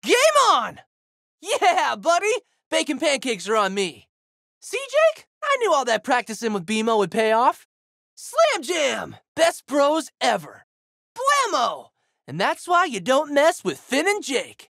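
Casting: goofy teen boy adventurer for a fighting game, high voice, wildly animated, brash and big-hearted. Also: a little slow, gravelly, no echo, studio quality, exaggerated voice. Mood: triumphant. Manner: exuberant, slangy, emphatic delivery. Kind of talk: video game character battle lines.

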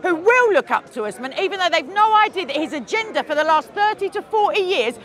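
A woman's voice: very high voice, big pitch swings, a little fast, fairly bright tone, a faint crowd. A woman in her thirties in a heated street debate in a city park, combative and sarcastic, talking over interruptions into a lapel mic.

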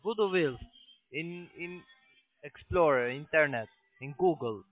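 Guy on a crappy phone line, higher voice, non-native, halting broken sentences, telling someone what to do. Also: dry recording. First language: not German. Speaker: call centre phone scammer. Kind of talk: phone call giving instructions. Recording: tinny narrowband phone line.

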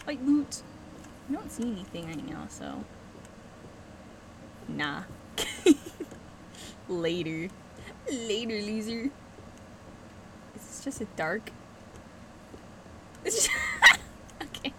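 An excitable young woman narrating her gameplay, her pitch leaping all over as she reacts. She talks into a headset with faint background noise. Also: drawn-out words, pauses often. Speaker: streamer. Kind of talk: casual gameplay commentary.

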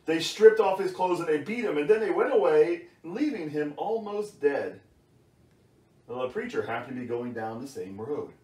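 A middle-aged man reading a playful bedtime Bible story in an expressive, theatrical way, as if to a child. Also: on the high side, moderate pace. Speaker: storyteller for a church's children's series. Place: small wood-panelled bedroom indoors. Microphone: camera across the room. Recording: roomy sound, distant microphone.

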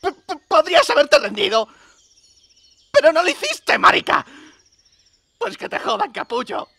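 Cartoon parody: small furious monster, piercing, croaky, foul-mouthed, Castilian accent. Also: male voice, extremely high pitch, huge pitch swings, normal speed, pushed and bright, full character voice. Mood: angry ranting. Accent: Castilian Spanish. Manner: swearing, ranting. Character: frantic, foul-mouthed, aggrieved male Pikachu, small electric monster. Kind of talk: comedic cartoon parody dub. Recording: music under the voice.

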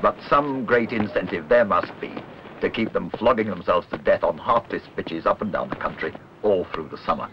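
A man reading scripted documentary narration in a measured, literary, wry manner. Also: low-pitched, noisy background. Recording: dull old film soundtrack, music behind.

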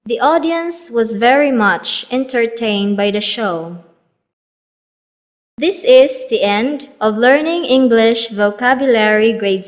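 A woman reading vocabulary for a children's English lesson, saying each word twice and then an example sentence, slowly and evenly. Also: on the high side. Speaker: vocabulary teacher. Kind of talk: slow scripted word-drill reading.

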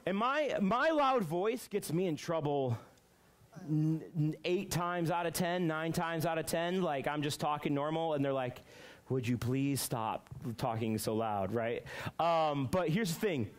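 Loud energetic man telling a story. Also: fairly high pitch, a little slow. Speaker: youth pastor. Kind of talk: youth group talk. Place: church youth room, medium indoor.

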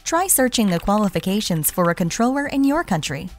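A woman's voice: medium pitch, very animated, brisk. Woman narrating an explainer over music. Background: background music.

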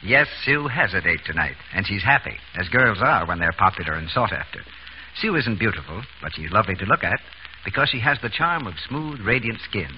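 A man's voice: fairly low pitch, dull audio, slight background noise. A man announcing a scripted commercial in 1930s radio advertising style, on a vintage recording.

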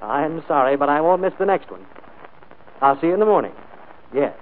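An actor playing a wealthy, proud and urbane employer in a 1940s radio drama, speaking in a clipped, imperious way. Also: male voice, on the high side, sing-song, a little fast. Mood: smooth, breezy. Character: wealthy, commanding employer, suave and proud gentleman. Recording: tinny, noisy 1940s radio broadcast.

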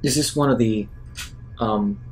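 A man at a tabletop game improvises a scene in a mid-pitched voice. His delivery is very slow, with music behind him. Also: rough recording.

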